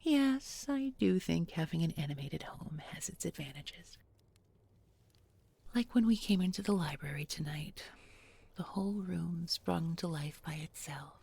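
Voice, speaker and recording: A woman playing a tender, bookish young village girl in an intimate audio roleplay, speaking softly and gently, often in a whisper. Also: lowish voice, expressive, a little hiss or hum. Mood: warm, reminiscing. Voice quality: soft, breathy, often whispering. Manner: slow, gentle, murmured with lingering pauses.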